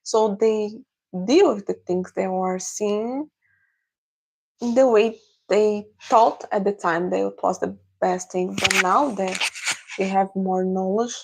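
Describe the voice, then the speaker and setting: A young Brazilian woman with a Portuguese accent, answering viewers casually on a livestream in careful, halting English. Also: mid-range voice, a little mellow, rough recording. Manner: halting, searching for English words. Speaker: livestream host.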